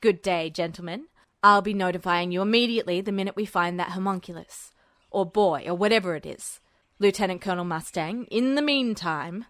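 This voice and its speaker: A woman acting out a stern domineering male officer, lowered voice, curt and commanding, dry sound. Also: brisk, fairly bright tone, no room sound, natural voice.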